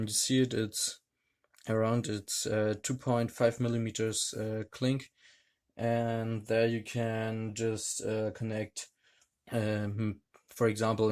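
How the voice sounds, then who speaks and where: A man reviewing a gadget hands-on, talking in a hesitant, halting way with many fillers. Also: lowish voice, darkish tone. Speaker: tech reviewer. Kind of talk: hands-on product demonstration.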